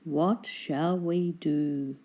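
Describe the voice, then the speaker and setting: A woman voicing a mild, gloomy lighthouse keeper husband in a children's storybook, speaking in a slow, formal way. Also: low voice, fairly even intonation, dark tone, dull audio, full character voice. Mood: agreeable, ponderous.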